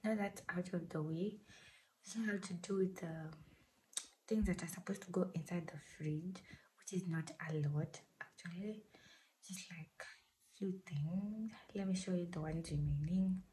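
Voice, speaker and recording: A woman vlogger, croaky from just waking up, talking in a soft half-whisper with lots of "like" fillers in a casual voice-over from her home kitchen. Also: fairly low pitch, unhurried, a little hiss or hum, poor audio. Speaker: lifestyle vlogger.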